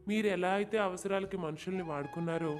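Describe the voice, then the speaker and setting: A man's voice: high voice, quick pace, close to an everyday voice. A man playing a frank, resigned neighbour in a moral story, polite but aggrieved. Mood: frank, reproachful. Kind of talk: dramatized moral story narration. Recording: clear music behind the voice. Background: music.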